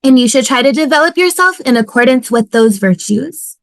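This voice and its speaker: A woman's voice: sits high, lively intonation, brisk, no echo. A woman playing a despairing, nihilistic male ethics professor in a sitcom table read. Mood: mock-lecturing. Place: home, on a video call.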